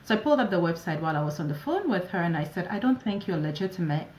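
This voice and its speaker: A woman in her forties whose first language is French, from Ivory Coast and Ghana, telling stories lively as an interview guest. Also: lowish voice, very fast, slight background noise. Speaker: guest, operations advisor. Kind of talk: casual interview answers. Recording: echoey room.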